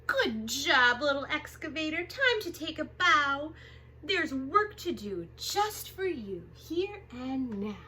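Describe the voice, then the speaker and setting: Woman, children's storytime reader, bright forceful voice, unhurried pace, expressive sing-song delivery, some background noise. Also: sits high. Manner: expressive, drawn-out sound words, sing-song repetition. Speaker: storytime host reading to children. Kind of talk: animated picture-book read-aloud for young children.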